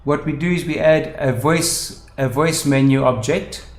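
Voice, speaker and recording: A man presenting a software tutorial, explaining methodically step by step. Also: a little high, unhurried. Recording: roomy, echoing voice-over.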